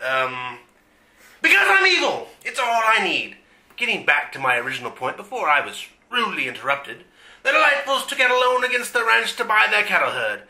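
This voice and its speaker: A man's voice: high-pitched, wildly swooping pitch, a little fast, pushed and bright, exaggerated voice. A man playing a pompous, gleefully evil, scheming villain in a parody of a western radio serial. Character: vile scheming melodramatic mustache-twirling male western villain. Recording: roomy echo.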